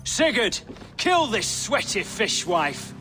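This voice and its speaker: An actor playing a brash, excitable Saxon thane with fiery temper in a video game. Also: male voice, high voice, takes it slowly, exaggerated voice. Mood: furious. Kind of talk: video game cutscene acting. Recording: long hall reverb, music behind.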